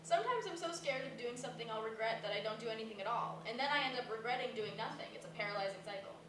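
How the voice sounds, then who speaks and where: An actress plays a self-doubting, inquisitive young woman with a high, very lively voice. She speaks naturally and bluntly, as in a play. The live recording is roomy and noisy. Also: racing, recorded from a distance, natural voice. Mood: reflective, wry. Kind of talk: staged play reading, naturalistic dialogue.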